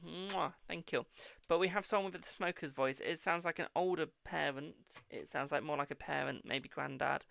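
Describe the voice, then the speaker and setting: Gravelly-voiced man reading tarot cards. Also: on the high side, a bit bright, dry recording. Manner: chatty and reassuring, calls viewers "my darling". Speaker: tarot reader hosting a live stream. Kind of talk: live-stream card reading, answering viewer questions.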